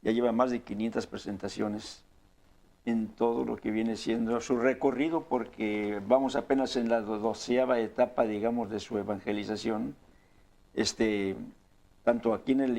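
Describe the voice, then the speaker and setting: A man hosting a religious talk show, speaking earnestly in a measured way with frequent fillers. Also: mid-range voice, gravelly, darkish tone. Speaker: host.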